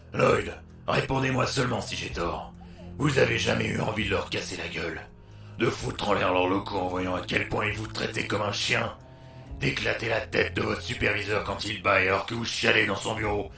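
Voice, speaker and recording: A man's voice: fairly low pitch, gravelly, sharp tone, echoey room. A man playing a blunt, worn-out, foul-mouthed doctor with superpowers in an audio drama. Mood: sardonic, fed up. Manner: blunt, sardonic, crude slang. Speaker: voice actor.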